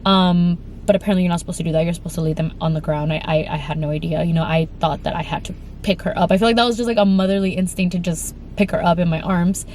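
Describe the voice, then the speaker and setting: A woman's voice: on the low side, steady tone, fast talker, few pauses, darkish tone, a little hiss or hum. Congested young woman telling a frightening story. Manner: rambling with many fillers and restarts. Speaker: vlogger. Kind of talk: emotional storytime vlog.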